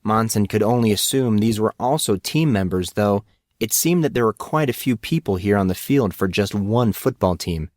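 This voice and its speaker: A man's voice: lowish voice, lively intonation, moderate pace, darkish tone, no echo. Man narrating a story, dry sound.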